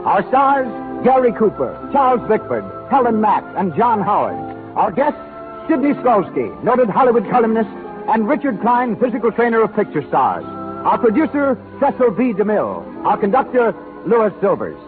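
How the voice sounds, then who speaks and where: A man's voice: high voice, very animated, normal speed. A man announcing a 1930s radio program, formal and emphatic, reading scripted introductions and sponsor copy. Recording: muffled 1930s radio broadcast, music.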